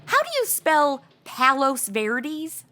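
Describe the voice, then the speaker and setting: An actress playing a flighty, self-absorbed young podcaster in a comedy audio drama, chatty, slangy and gushing. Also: female voice, sits high, big pitch swings, takes it slowly, bright, forceful tone, a little hiss or hum, heavily acted. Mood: flippant. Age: young adult.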